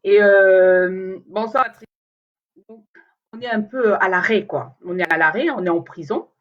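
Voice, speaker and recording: A woman's voice: medium pitch, measured pace, lo-fi sound. Rambling woman chatting live on a phone. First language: Lingala. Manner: rambling, hesitant, many fillers and restarts. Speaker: vlogger talking to viewers. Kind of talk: casual live chat to camera.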